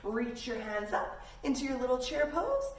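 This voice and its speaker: A woman's voice: high voice, wildly swooping pitch, moderate pace. A woman instructing young children in yoga in a playful, encouraging way. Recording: roomy, distant mic, faint noise.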